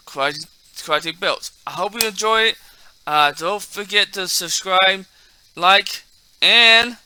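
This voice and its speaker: A man hosting a martial arts video in a casual, halting way, repeating and stumbling over words, with a slight speech impairment. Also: high-pitched, sharp tone, clean tone, no room sound. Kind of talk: casual talk mixed with halting reading aloud from a text.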